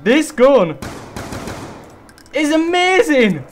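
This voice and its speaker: A young man hosting a casual co-op zombie game session, excitable and chatty, bursting into loud hype over his weapon. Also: very high-pitched, very slow. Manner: excitable, hypes gun, swears mildly. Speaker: gaming channel host. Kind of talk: casual co-op gameplay chat.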